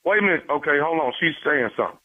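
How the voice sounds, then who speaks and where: A man's voice: a little high, expressive, racing, no room sound. Man acting a stubborn prank caller, tinny phone. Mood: stubborn, accusing. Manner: repetitive, stubborn, stammering phrases. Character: insistent man accusing a stranger over his auntie's stolen bike. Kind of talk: improvised prank phone call. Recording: narrow tinny phone line.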